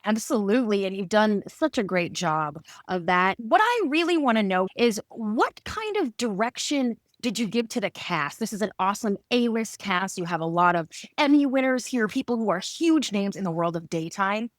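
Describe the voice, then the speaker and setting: A woman's voice: medium pitch, expressive, moderate pace, hardly any pauses, dry recording, clean studio sound. A woman hosting a podcast interview in a gushing, enthusiastic manner. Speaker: host.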